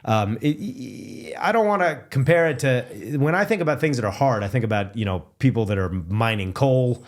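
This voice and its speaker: A man in his forties talks as a podcast guest into a desk mic in a dim studio. He is chatty and self-deprecating, with plenty of "you know" and "right" in his speech. His voice has a middle pitch for a man. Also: animated, moderate pace. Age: 40s. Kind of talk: casual chat.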